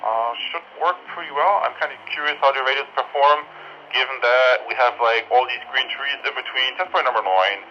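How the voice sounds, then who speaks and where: A man's voice: medium pitch, fairly quick, lo-fi sound, a little hiss or hum. A man reviewing products, heard through a walkie-talkie, giving range test commentary and repeating his phrases for the test. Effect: heard through walkie-talkie radio. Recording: band-limited walkie-talkie radio sound, music behind.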